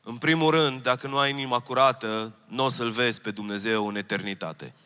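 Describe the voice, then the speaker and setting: Bright-voiced man preaching in a hall. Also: medium pitch, clean tone. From Romania. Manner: earnest preaching, repeats key phrases, gestures while speaking. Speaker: pastor. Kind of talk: sermon. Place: church stage, large hall. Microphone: handheld mic. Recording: long hall reverb.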